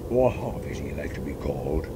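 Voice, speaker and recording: A man's voice: very animated, fairly quick, crowd noise. A man reading fan fiction aloud as dramatic, suspenseful story narration. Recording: loud ambient rumble behind voice. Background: loud ambient noise.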